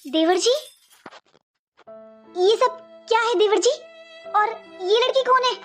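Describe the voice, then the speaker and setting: A woman's voice: very high-pitched, takes it slowly, faint music behind. A woman voicing a devoted, respectful young sister-in-law in a cartoon family drama, speaking politely and emphatically with repeated phrases. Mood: shocked.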